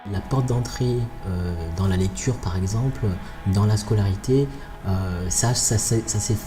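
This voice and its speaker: A man's voice: lowish voice, a little slow, over music. A man raised on Réunion, not a native French speaker, talking casually and a little hesitantly in an interview. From born abroad, raised on Réunion from age six. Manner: conversational, hesitant.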